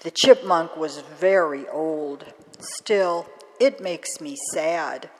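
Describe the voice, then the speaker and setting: A woman voicing a bent little old crone who speaks gently and murmurs kindly. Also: low voice, slow pace, big-room sound, low-quality recording. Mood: gentle, wise.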